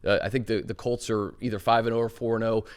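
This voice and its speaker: A man hosting a casual sports talk show, chatty, trading quick remarks and hedging with fillers. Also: fairly low pitch, little pitch movement, smooth. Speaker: host.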